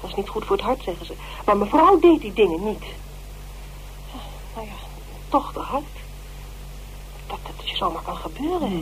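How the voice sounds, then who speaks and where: An actress playing a prim, loyal older theatre dresser in a radio drama, hesitant and disapproving. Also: female voice, medium pitch, big pitch swings, moderate pace, long pauses, a little hiss or hum, natural voice, rough recording. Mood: hesitant, mournful.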